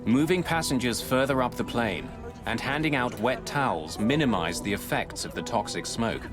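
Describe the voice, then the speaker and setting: A man giving scripted, dramatic documentary narration in a measured, grave way, close on a studio mic. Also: medium pitch, lively intonation. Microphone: close studio voice-over mic. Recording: music bed under narration.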